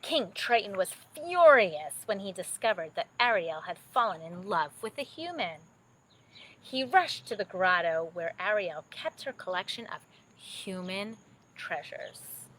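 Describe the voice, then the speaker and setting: Slow, expressive woman storyteller. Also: mid-range voice, low-quality recording. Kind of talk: expressive children's bedtime story narration.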